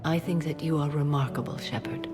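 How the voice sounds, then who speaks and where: An actress playing a pensive, gently teasing companion in a space video game, speaking in measured, formal phrases. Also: female voice, deep voice, fairly even intonation, natural voice. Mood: fond, teasing. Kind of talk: intimate game dialogue acting. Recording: loud music behind the voice.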